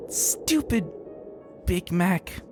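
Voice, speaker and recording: A man's voice: high-pitched, lively intonation, very slow, voice pushed up, full character voice. A man voicing a grumpy young female pegasus pony, muttering sulky complaints in a dramatic story reading. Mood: exasperated grumbling. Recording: background music behind the voice.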